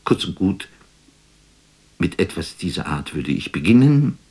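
An actor playing a wistful, weary poet, musing lyrically in a measured radio drama monologue. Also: male voice, sits low, very animated, a little mellow, slightly rough, full character voice. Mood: weary longing. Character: wistful, weary male poet imagining a phone call.

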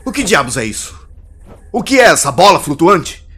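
A man's voice: on the high side, big pitch swings, moderate pace, fairly bright tone, husky, full character voice. An actor playing an arrogant, mocking male villain and would-be conqueror in an audio drama, with a theatrical, taunting delivery. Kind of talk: scripted audio drama acting.

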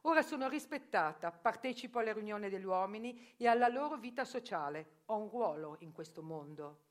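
A woman voicing a proud, tough sixty-six-year-old woman who lives as a man, answering firmly in a raspy voice. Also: mid-range voice, lively intonation, a little fast, lightly acted. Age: 60s. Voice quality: raspy, like a heavy smoker. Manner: firm, proud, emphatic answers.

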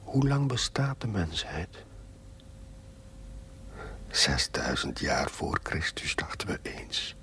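A brooding man muses to himself in a deep, half-whispered voice. He speaks extremely slowly and haltingly, as in an inner monologue from a television drama. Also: big pitch swings, full character voice. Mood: pensive, questioning. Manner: hushed, halting, musing to himself. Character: brooding, pondering man musing alone on mankind's origins.

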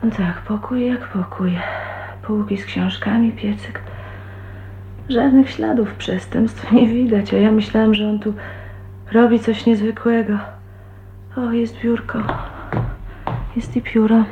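An actress playing a shy, heartbroken young woman in a radio drama, often murmuring to herself in a hushed, breathy way. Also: fairly high pitch, steady tone, slow pace, long pauses. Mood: curious. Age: young adult. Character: shy, heartbroken 29-year-old woman leaving her husband. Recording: tinny, band-limited 1980s radio recording, hissy.

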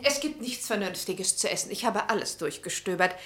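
A woman's voice: medium pitch, a little fast, fairly bright tone, natural voice. Actress as a bossy, theatrical older society lady. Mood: playful. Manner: theatrical, commanding. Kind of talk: German radio drama acting.

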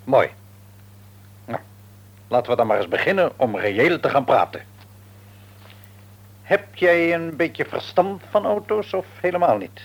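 A shrewd middle-aged businessman in a Dutch radio drama, with a Netherlands accent. His voice is husky and moves animatedly up and down. He probes and patronises, jovial on the surface and domineering underneath. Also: on the high side, expressive, measured pace, long pauses. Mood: brisk, businesslike. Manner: probing, patronising, wordy. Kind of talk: Dutch radio play acting.

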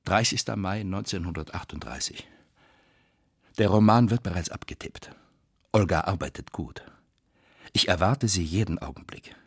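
A man performing a wry literary storyteller in a radio drama, with dramatic emphasis and pauses. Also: sits low, husky, no echo, clean studio sound. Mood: reflective. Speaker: actor reading narration and letters.

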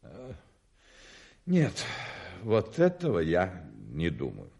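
Radio play: flustered, servile hotel manager, hesitant and repetitive, low register with very animated intonation. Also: male voice, slow pace, gravelly, slightly rough, heavily acted. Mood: anxious, reverent. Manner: flustered, hesitant, repeating himself. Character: fussy, anxious male hotel manager, obsequious towards gentlemen. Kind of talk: radio play acting in Russian.

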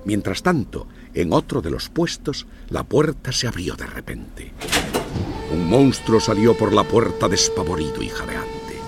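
A man's voice: mid-range voice, wildly swooping pitch, a little slow, creaky, over music. A man narrating a scripted children's story with dramatic storytelling delivery.

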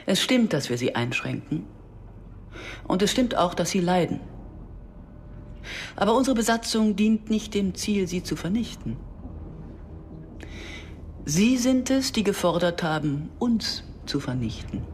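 A woman's voice: medium pitch, very animated, a little slow, pauses often, a little hiss or hum. An actress playing a wry, guarded Israeli mother who narrates her story in a radio drama with dry, reflective irony. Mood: defensive, firm. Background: music under the voice.

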